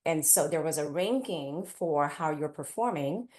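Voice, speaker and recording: Low-voiced woman telling her story, echoey room. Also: lively intonation, unhurried, a little mellow. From Taiwan, then California from age eight. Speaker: parent panelist. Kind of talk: personal storytelling on a panel. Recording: roomy, echoey event space.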